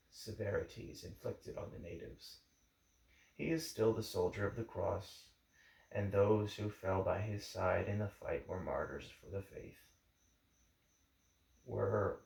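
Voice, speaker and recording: Male reader for a history audiobook: fairly deep and creaky, formal and deliberate, recorded in an echoey room. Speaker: book reader. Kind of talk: audiobook-style reading of a history book introduction.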